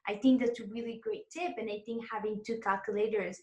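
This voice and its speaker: A woman's voice: fairly high pitch, steady tone, brisk, a little mellow, roomy sound. A woman hosting an interview in casual chat, whose first languages are Arabic and French, talking in an encouraging way and laughing often. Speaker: interview host and exam tutor.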